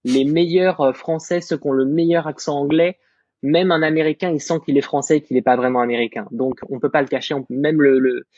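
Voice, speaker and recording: A young man from France in his twenties, a guest content creator talking through his story with animated self-assurance. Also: fairly high pitch, a little fast, no echo. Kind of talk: casual podcast discussion. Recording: slightly muffled dry recording.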